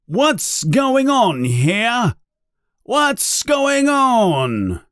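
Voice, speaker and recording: A man voicing a distraught, furious older male school caretaker who yells and screeches accusations in a storybook reading. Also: very high-pitched, huge pitch swings, very slow, no echo, studio quality, pitched above the performer's own voice, full character voice. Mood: desperate.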